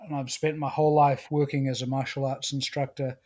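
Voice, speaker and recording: A middle-aged man with a New Zealand accent, a guest in a casual interview, talking in a relaxed, thoughtful way. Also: mid-range voice, brisk.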